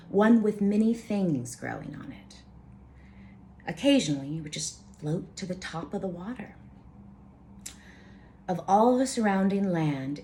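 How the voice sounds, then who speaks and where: A woman storyteller reading aloud with informal asides, halting and careful over names. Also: fairly low pitch, darkish tone, slight background noise.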